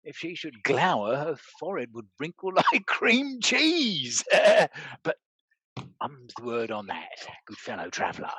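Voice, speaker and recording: A bumbling, good-hearted country squire from a Restoration comedy, played by a man. He stammers and blusters with a very animated swing in pitch, recorded close and dry. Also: a little slow, husky, no echo, heavily acted. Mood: conspiratorial, amused. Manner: hesitant stammering, rustic bluster. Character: bumbling, good-natured country bumpkin knight. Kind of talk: scripted stage comedy acting.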